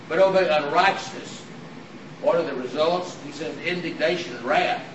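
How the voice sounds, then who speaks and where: A visiting preacher, his voice hoarse and raspy from throat trouble, delivering an earnest sermon in a halting way with frequent "uh" fillers. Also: fairly high pitch. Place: church auditorium. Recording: echoey hall from far off with room noise.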